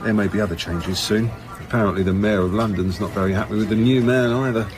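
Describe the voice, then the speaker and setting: An actor in a radio drama playing a smug, cheerful senior police chief with a breezy, official manner. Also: male voice, lowish voice, fairly even intonation, fast, a crowd in the background, lightly acted.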